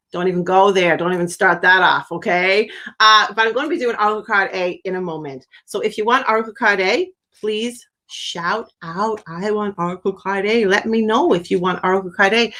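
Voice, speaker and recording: Middle-aged woman, a medium hosting a live stream; chatty and upbeat, average pitch with playful jumps. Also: normal speed, fairly bright tone. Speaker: spiritual medium hosting a livestream. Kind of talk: casual live-stream chat.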